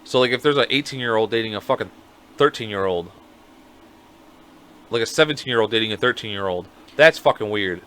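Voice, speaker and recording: A man in his thirties co-hosting a podcast, chatting casually in a blunt, profane, animated way. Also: fairly low pitch, fairly quick, long pauses, clean tone. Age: 30s. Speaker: podcast co-host. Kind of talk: casual chat.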